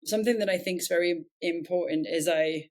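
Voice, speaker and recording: A woman's voice: lowish voice, fairly quick, a little mellow. Reflective English woman guest, rambling earnestly. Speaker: nonprofit founder, interview guest. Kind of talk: conversational interview storytelling.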